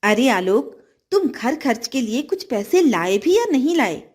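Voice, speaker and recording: A woman's voice: on the high side, sing-song, unhurried, darkish tone, breathy edge, exaggerated voice. A woman voicing a greedy, bossy stepmother in a children's fairy tale, with an insistent, demanding manner.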